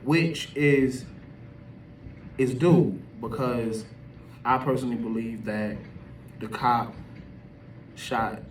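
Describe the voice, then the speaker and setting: A man's voice: mid-range voice, slow, pauses often, echoey room. A man giving casual opinion commentary as a video host, talking in an emphatic, slangy, conversational way. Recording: distant mic with background noise.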